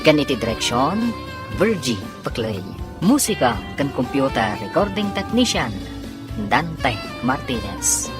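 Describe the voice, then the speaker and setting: Very low-voiced woman announcing a radio serial. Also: sing-song, a little slow. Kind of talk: dramatic scripted narration in a radio serial. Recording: music bed under the voice. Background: dramatic theme music.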